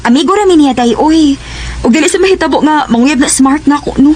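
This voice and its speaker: A woman's voice: high voice, quick pace, darkish tone, slight background noise, close to an everyday voice. A woman playing a shy, playful schoolgirl in a Cebuano radio drama, with a Cebuano Filipino accent. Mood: casual, teasing. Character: shy, playful teenage girl student being courted.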